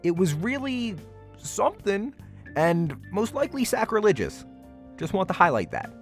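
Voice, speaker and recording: Animated man doing wry vlog voice-over. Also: high voice, moderate pace, soft background music. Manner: wry, slangy, playfully exaggerating. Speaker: vlogger narrating a convention walkthrough. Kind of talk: casual voice-over commentary.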